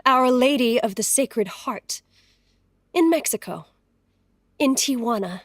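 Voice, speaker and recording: An actress playing a timid, polite young former beauty queen, halting and deferential, in a period audio drama. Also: fairly high pitch, lively intonation, no echo, studio quality. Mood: matter-of-fact.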